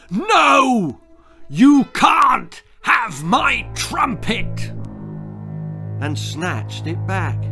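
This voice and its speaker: A man reading a children's story aloud as a dramatic, expressive narrator. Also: a little high. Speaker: author reading his own story.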